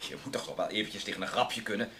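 A man's voice: on the low side, racing, bright, forceful tone. A man playing a jovial, conciliatory newspaper boss in a Dutch radio play, with a joking, breezy manner.

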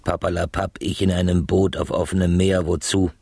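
A man's voice: low voice, level tone, moderate pace, creaky, darkish tone, slightly rough, no echo, clean studio sound, exaggerated voice. A man acting in a radio play as a proud, obstinate grandfather in his eighties who grumbles haughtily. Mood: stubborn, indignant. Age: 80s. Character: stubborn, proud old grandfather over eighty.

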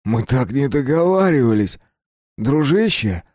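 A man voicing a frantic, enraged male character in an audiobook, shouting accusations in a breaking, shrill voice. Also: sing-song, unhurried, no echo, studio quality. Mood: indignant.

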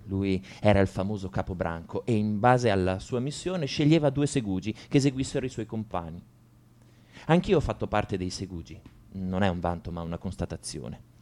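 Un attore che interpreta un liceale tormentato e amareggiato, in un monologo confessionale di radiodramma, con enfasi riflessiva. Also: male voice, fairly low pitch, warm tone, natural voice. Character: brooding male high school student confessing to violence. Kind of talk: radio drama monologue, first-person narration.

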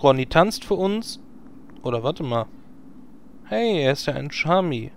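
A man's voice: on the high side, sing-song, unhurried, gravelly, slight background noise, heavily acted. A man playing a wry, sarcastic raid leader handing out orders in a German parody audio play. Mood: brisk, commanding.

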